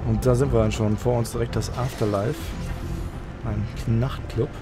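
A man's voice: medium pitch, steady tone, normal speed. A man giving casual commentary as a let's player while he plays a game. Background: clear game music.

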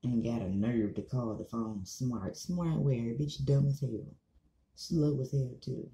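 A woman's voice: extremely low pitch, fairly even intonation, takes it slowly, mellow. An American woman in her thirties giving a casual, blunt, emphatic and repetitive rant to camera, swearing freely. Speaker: online commentary vlogger. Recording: echoey small room.

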